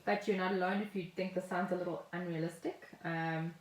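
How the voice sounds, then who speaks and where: A woman's voice: on the low side, fairly even intonation, fairly quick, background noise. A middle-aged South African woman co-hosting a podcast, explaining things in casual chat and sometimes reading from notes. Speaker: podcast co-host. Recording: roomy home podcast echo.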